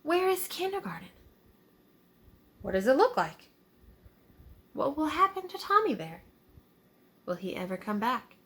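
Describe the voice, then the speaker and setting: Woman as anxious storybook farm animal, raised pitch, bright tone, emphatic questions, bewildered fretting. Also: sing-song, unhurried, long pauses, exaggerated voice. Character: worried, bewildered barn animals fretting over a missing boy.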